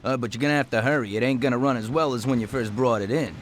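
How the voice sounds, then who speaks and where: A man's voice: medium pitch, rapid-fire, close to an everyday voice. A man playing an obliging, casual mechanic in a gangster video game. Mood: matter-of-fact.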